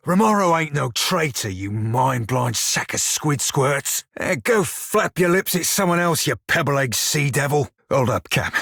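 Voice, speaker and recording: An actor voicing a wry, foul-mouthed pirate shiphunter in a video game, speaking a colloquial sailor's dialect with a gritty, husky voice. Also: male voice, mid-range voice, fairly bright tone, no room sound, studio quality, full character voice. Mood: furious, then wry, steady. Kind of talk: video game character dialogue.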